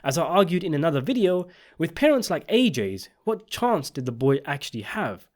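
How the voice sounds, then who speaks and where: A man's voice: a little high, very animated, moderate pace. A man delivering scripted video essay commentary on a TV series, in an emphatic, opinionated way. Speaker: video essayist and channel host.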